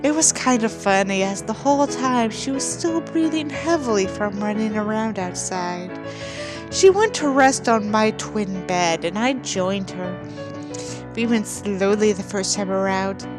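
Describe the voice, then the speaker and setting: A woman's voice: medium pitch, lively intonation, a little slow, over music, close to an everyday voice. A woman reading a novel dramatically as a self-absorbed, brooding young narrator, earnest and melodramatic.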